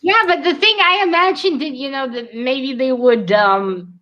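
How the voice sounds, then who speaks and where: A woman with a moderately high-pitched voice talks informally about fiction. She is animated and opinionated, often sounding incredulous as she reacts to the story. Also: normal speed, muffled recording, a crowd in the background. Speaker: read-along book discussion participant. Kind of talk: casual book chat.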